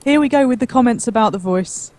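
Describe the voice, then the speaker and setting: A woman's voice: on the high side, fast talker, a little mellow. A woman calling in to a livestream and chatting casually about herself. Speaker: livestream caller. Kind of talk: casual chat.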